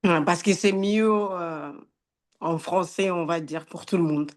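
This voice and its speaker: A woman's voice: lowish voice, measured pace. Hesitant woman. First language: Portuguese. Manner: hesitant, many filler sounds. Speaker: nominee.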